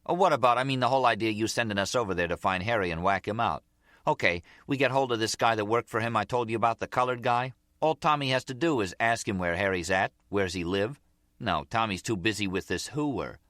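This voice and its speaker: A man's voice: lowish voice, fairly quick, a bit bright, no room sound, clean studio sound, pitched above the performer's own voice, natural voice. A man voicing an eager, resentful young mob underling in an audiobook, whiny and insistent, with street-tough grammar. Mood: complaining.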